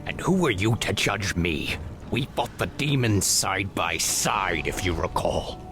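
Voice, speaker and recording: Game villain-hero casting: an embittered elven prisoner, slow, gravel-voiced, brooding, music underneath. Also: male voice, low-pitched, animated, full character voice. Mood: bitter, defiant. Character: embittered, brooding male night elf freed after ages of imprisonment. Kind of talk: video game cutscene acting. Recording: music behind.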